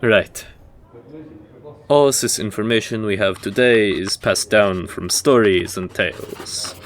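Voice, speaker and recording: A man playing a dry, reserved history professor at a fantasy university, lecturing in a measured, formal way for an audio drama. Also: lowish voice.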